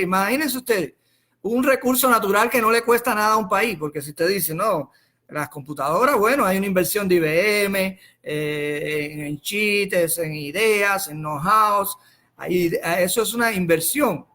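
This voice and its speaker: A Cuban man with a high-set, sharp and bright voice talks informally as a political commentator on a live stream. He explains his points in a halting way, doubling back on words and phrases and asking the listener rhetorical questions. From Cuba.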